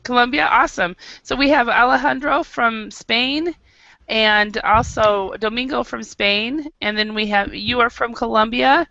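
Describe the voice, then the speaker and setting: An American woman leading an online English class, upbeat and encouraging, with frequent quick affirmations. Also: a little high, vocal fry, bright, forceful tone, no echo, dull audio. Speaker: English teacher. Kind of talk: online group English lesson.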